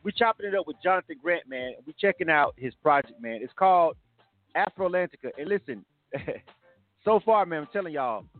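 A man hosting a hip hop radio interview, energetic and slangy, often repeating himself. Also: fairly high pitch, moderate pace, dry recording. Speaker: radio show host. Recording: lo-fi narrow sound with music behind.

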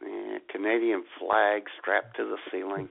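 A man's voice: lowish voice, flat delivery, unhurried, gravelly. A man co-hosting a comedic radio show, trading playful banter and slipping into mock grumpy voices. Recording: phone-like radio audio.

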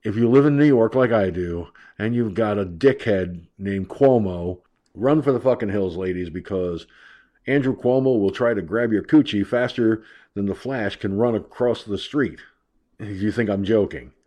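Low-voiced guy with a stuffy nose ranting on his talk radio show, swearing a lot, brash and mocking. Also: measured pace, mellow, dull audio. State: stuffed up, nasal from allergies. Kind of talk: political talk rant.

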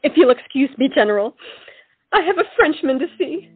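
A woman's voice: high-pitched, animated, brisk, no echo. A woman playing an earnest, hesitant young colonial lady, pleading in a romantic audio drama. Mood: anxious. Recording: muffled lo-fi audio, music underneath.

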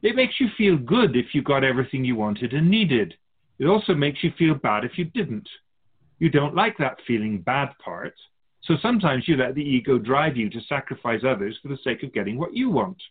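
A man's voice: mid-range voice, sing-song, moderate pace, husky, phone-quality sound. A man playing an otherworldly keeper of souls in a radio drama, a wise, teasing mentor who muses theatrically in rhetorical questions. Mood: lecturing. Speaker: spirit guide in a radio drama.

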